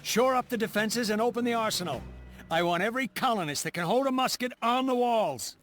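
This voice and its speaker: An actor playing a bold, gruff colonial mercenary commander in a video game, commanding and dryly sardonic. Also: male voice, very high-pitched, animated, a little fast, a bit bright. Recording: orchestral music behind the voice.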